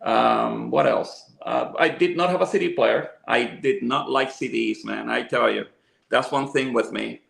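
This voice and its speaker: A man's voice: medium pitch, a little slow, creaky, fairly bright tone. A man in his fifties from Venezuela, chatting enthusiastically as a guest about his love of music and gear. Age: 50s. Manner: enthusiastic, talkative storyteller. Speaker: interview guest, audio equipment seller. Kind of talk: casual interview chat. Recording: echoey room.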